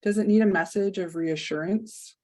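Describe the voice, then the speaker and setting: A woman leading a guided meditation, speaking softly and soothingly with long pauses. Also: mid-range voice.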